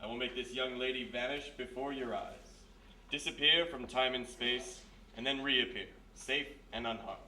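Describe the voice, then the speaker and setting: A man's voice: medium pitch, moderate pace, bright, forceful tone. A man playing a grandiose, florid stage magician of the occult in solemn old-time radio mystery style. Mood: grandiose, mysterious. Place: live performance in a roomy historic house. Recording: echoey live stage, distant mic, hiss.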